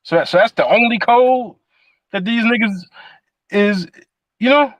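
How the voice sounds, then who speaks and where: A man's voice: high voice, a little slow, a bit bright, no echo. A man in his forties hosting a casual podcast chat, rambling through questions with lots of fillers and restarts. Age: 40s. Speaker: podcast host.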